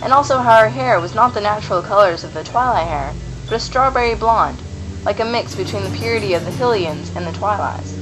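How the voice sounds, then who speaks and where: A woman's voice: mid-range voice, lively intonation, fairly quick, poor audio. A woman reading scripted narration for a fantasy radio play. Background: music.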